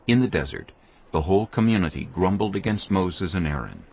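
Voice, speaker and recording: A man's voice: deep voice, big pitch swings, brisk, vocal fry, darkish tone. A man narrating scripture as a dramatic story, in a solemn storytelling manner.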